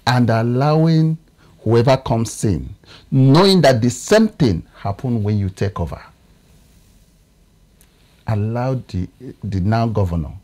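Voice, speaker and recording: A man in his thirties with a Nigerian English accent, a political analyst talking in a TV studio, often pausing to search for words. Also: medium pitch, darkish tone. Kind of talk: studio discussion.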